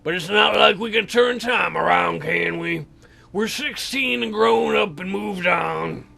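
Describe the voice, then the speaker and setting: A game player voicing a sullen, dopey sixteen-year-old boy who grumbles slowly with world-weary self-importance. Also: medium pitch, vocal fry, pushed and bright, soft background music, full character voice.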